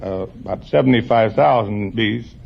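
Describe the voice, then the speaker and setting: A man's voice: fairly low pitch, normal speed, vocal fry. An American man from Utah giving brief, plain answers to a host as a quiz contestant. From Utah, United States. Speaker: quiz show contestant, beekeeper. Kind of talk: answering a host's questions. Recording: dull, faintly noisy vintage radio recording.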